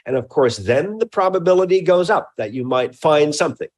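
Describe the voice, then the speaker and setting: Man, scientist interviewee, explanatory, emphatic, halting delivery, repeated words. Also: fairly high pitch, expressive.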